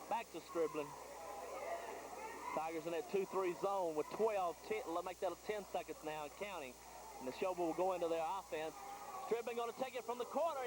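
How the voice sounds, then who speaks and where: A man calls live basketball. His play-by-play comes in short, clipped phrases. The audio is an old, noisy broadcast from a high school gym. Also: normal speed, pauses often. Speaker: radio play-by-play announcer.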